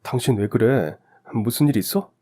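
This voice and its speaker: A man voicing a caring, supportive newlywed husband in a calm, considerate way. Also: medium pitch, normal speed, close to an everyday voice. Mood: concerned.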